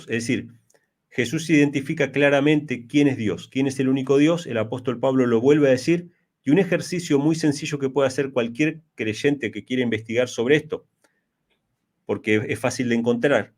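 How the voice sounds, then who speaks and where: A man in his early forties speaks as an interview guest on religion, with a mid-pitched voice. He explains point by point, repeating key phrases for emphasis and posing rhetorical questions. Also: a little mellow. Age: early 40s. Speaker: interviewee, Bible teacher.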